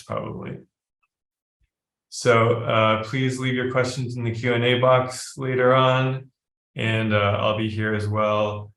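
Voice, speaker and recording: A man introducing a guest speaker and going over the agenda of a webinar, relaxed and full of fillers. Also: fairly low pitch, vocal fry, echoey room. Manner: relaxed, filler-heavy talk. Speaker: webinar host. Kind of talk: casual introduction.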